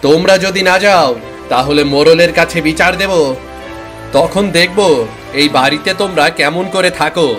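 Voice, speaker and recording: A man's voice: on the high side, moderate pace, lightly acted. A man voicing a stern, accusing younger brother in a cartoon moral story, scolding and giving orders. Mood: threatening. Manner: scolding, commanding. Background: music.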